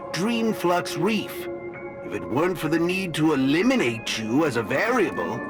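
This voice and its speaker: A man's voice: high voice, exaggerated voice. A man voicing a smug, scholarly ape professor villain in a video game, lecturing in a pompous, theatrical way. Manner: pompous, lecturing, slow and deliberate. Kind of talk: dramatic video game voice acting. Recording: loud music underneath.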